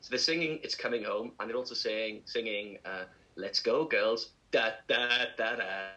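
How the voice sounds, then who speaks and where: A man co-hosting a casual podcast, chatty and animated, laughing often as he tells stories. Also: on the low side, moderate pace, muffled recording. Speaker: podcast co-host. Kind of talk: casual chat.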